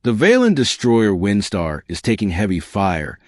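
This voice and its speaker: A man's voice: on the low side, huge pitch swings, moderate pace, a little mellow, dry recording, clean studio sound. A man voicing an alert tactical officer in a sci-fi audiobook, giving urgent, clipped battle reports.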